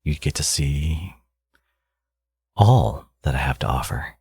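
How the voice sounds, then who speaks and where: A man playing a smug, teasing villain with a secretly caring side in an ASMR audio roleplay, speaking casually and sardonically with a husky voice. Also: extremely low pitch, animated, slow pace, a little mellow, heavily acted. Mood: smug, coaxing.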